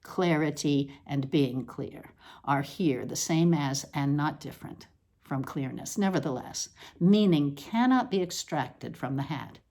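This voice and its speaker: A woman with a slightly low voice performs a scripted literary piece. Her intonation rises and falls widely. She phrases deliberately and declaims key words with emphasis. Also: lively intonation, mellow. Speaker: reader of a literary essay.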